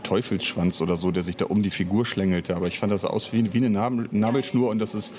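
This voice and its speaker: A man giving unscripted interview answers in a rambling, halting way as a writer and director. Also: fairly low pitch, fairly even intonation, a little mellow. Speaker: interview guest, audio drama author and director.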